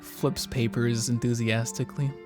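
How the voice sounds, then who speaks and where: A man's voice: mid-range voice, little pitch movement, normal speed, music in the background. A man in casual gaming chat, reading the story aloud and breaking off to joke and swear. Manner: jokey, informal, swearing. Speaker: gaming video co-host. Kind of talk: casual chat and reading aloud while playing a visual novel.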